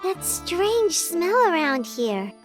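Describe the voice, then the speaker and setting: A tiny, chirpy fairy sidekick from a video game. The voice is squeaky, childlike and extremely high, swinging eagerly up and down with curiosity, over light background music. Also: female voice, big pitch swings, slow pace, exaggerated voice. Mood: puzzled.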